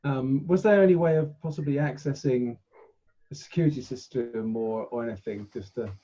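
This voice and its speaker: A man's voice: medium pitch, moderate pace, dark tone. A man talking casually as a tabletop game player, on a slightly lo-fi recording.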